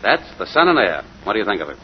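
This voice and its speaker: Genial, animated young husband. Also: male voice, lowish voice, rapid-fire. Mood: proud. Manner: hearty, chatty, boastful about his baby. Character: genial, unsuspecting husband and proud young father. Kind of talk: 1940s radio drama acting. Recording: muffled, noisy 1940s radio broadcast.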